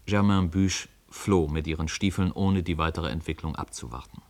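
A man's voice: low-pitched, moderate pace, darkish tone. A man narrating a story for a radio play in scripted storytelling style.

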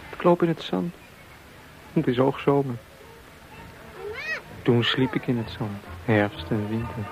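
An actor playing a haunted young soldier who confesses in bare, short poetic sentences for a radio drama. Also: male voice, medium pitch, lively intonation, slow, music in the background, low-quality recording.

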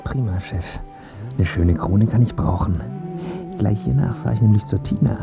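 A man's voice: on the low side, moderate pace, vocal fry, dull audio, loud music behind the voice. An actor in a radio drama playing a brash, vain male pop singer who boasts in slang and platitudes. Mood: cocky, spiteful.

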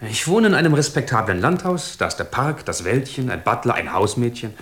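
An actor playing a bewildered, wry, wealthy Englishman in a German radio drama, musing aloud in an animated manner. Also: medium pitch, brisk. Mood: puzzled, musing.

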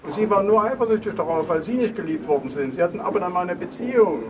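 A man's voice: high-pitched, lively intonation, fast talker. A man playing a blunt, judgmental accuser who presses insistently in an improvised spoken audio drama. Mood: accusing, insistent. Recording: noisy, distant microphone with background ambience.

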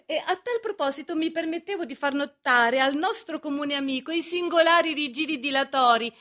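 A woman's voice: sits high, fairly quick, pushed and bright. A woman playing a pompous, long-winded official who talks in formal bureaucratic flourishes in a radio drama. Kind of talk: theatrical acting in a radio drama.

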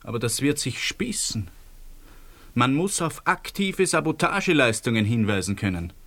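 A courteous but unyielding Austrian gentleman in a radio play. His mid-pitched voice moves with very animated intonation as he probes sceptically, keeping his manners throughout. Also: moderate pace. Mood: probing, sceptical. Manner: courteous, probing. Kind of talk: radio drama acting.

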